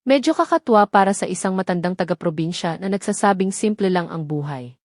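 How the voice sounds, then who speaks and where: A woman's voice: lowish voice, animated, fairly quick, no room sound, studio quality. A woman with a Filipino accent reading a scripted story as its narrator. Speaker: narrator of audio drama stories.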